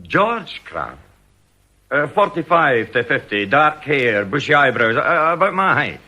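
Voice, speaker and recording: An older actor playing a sardonic retired police detective in a radio drama, with a jovially menacing, mocking manner. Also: male voice, on the high side, sing-song, a little slow, sharp tone, heavily acted. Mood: wry, suspicious. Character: sardonic retired police detective, now company security officer.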